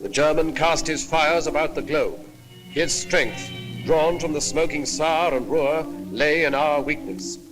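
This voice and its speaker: A man's voice: a little high, measured pace, poor audio. A man reading a scripted 1940s wartime documentary narration in solemn, grandiloquent, poetic phrases. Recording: 1940s film soundtrack with music behind.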